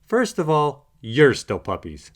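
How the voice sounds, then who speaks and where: A wry female house cat from a children's storybook , as a man puts her on. She is aloof and teasing, delivering dry remarks with expressive intonation. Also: fairly high pitch, takes it slowly, voice pushed up, exaggerated voice.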